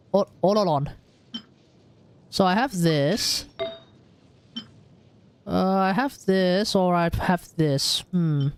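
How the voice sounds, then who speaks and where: A live streamer giving casual gaming commentary, thinking aloud and talking to the chat. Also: mid-range voice.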